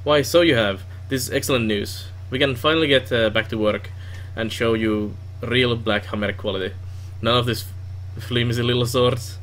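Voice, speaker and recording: A man's voice: medium pitch, moderate pace, hardly any pauses, a bit bright, lightly acted. A man with a Finnish accent voicing a cheerful male dwarf blacksmith as he reads game dialogue aloud. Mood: hearty.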